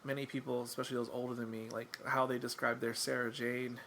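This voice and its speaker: A man with a gravelly, bright tone talks casually as a podcast guest. His manner is wry and self-deprecating, and he rambles with plenty of ums and restarts. A faint hiss sits under his voice. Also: medium pitch, flat delivery, fast talker. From England.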